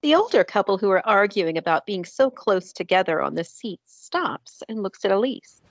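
A woman's voice: medium pitch, lively intonation, normal speed, no echo, dull audio. A woman narrating a scripted fantasy drama.

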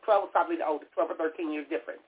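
A woman's voice: deep voice, rapid-fire, slight background noise. A woman in her fifties hosting a talk show, chatting casually with a teasing, laughing manner. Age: 50s. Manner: teasing, laughing, animated storytelling. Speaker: radio show host. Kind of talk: casual chat. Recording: tinny phone-line sound.

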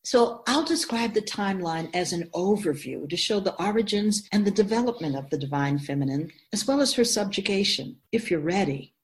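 Woman acting immortal spirit guide, assured, didactic, formal, expressive melody, radio drama. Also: mid-range voice, brisk, few pauses, a little hiss or hum, natural voice. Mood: composed, assured. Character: feminine-voiced immortal spirit keeper of souls, assured and instructive.

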